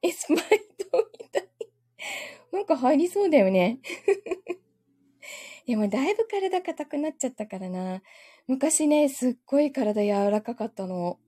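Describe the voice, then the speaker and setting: A woman's voice: high voice, lively intonation, normal speed, long pauses, warm tone. A woman chatting casually from home on a livestream, giggling often and thanking her viewers as she goes. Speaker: livestream host. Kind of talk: casual chat with live viewers.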